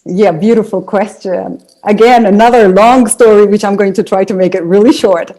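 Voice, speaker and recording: A woman from Ethiopia whose first language is Amharic, telling her story as an interview guest in a relaxed, conversational way. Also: mid-range voice, moderate pace, low-quality recording. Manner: storytelling, with restarts and fillers. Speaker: interview guest, singer and speaker.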